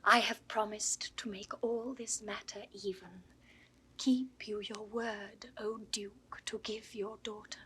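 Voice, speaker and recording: A woman's voice: mid-range voice, fairly even intonation, takes it slowly, sharp tone, slight background noise. A British actress playing a spirited, commanding young woman disguised as a boy in a Shakespeare audio drama, speaking emphatically. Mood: solemn, insistent. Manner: emphatic, declamatory.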